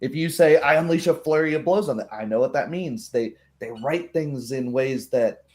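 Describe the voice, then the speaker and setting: A man's voice: medium pitch, normal speed, darkish tone. A man who runs a tabletop game, talking casually in a group discussion.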